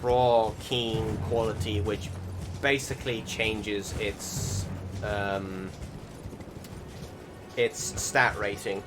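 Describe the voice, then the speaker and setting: A man's voice: on the low side, flat delivery, very slow, pauses often. Hesitant man commentating a game over music. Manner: hesitant, rambling, word-searching. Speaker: gaming channel host. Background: music behind the voice.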